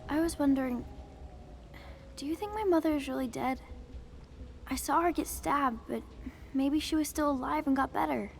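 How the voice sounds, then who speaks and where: A little highborn girl in a video game. Her voice is high and childlike, and she talks politely and earnestly, with curiosity, over faint background noise. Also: moderate pace, creaky, pauses often. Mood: subdued. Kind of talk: video game character acting.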